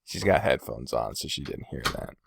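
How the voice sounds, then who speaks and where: Male podcast host, middle register with animated inflection; loose, digressive, self-mocking chat full of swearing, dry USB-mic recording. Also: a bass voice, moderate pace, gravelly, slightly rough, no room sound. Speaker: podcast host and video creator. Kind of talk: casual rambling solo podcast chat. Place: indoors in a bedroom. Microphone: Blue Snowball omnidirectional USB microphone.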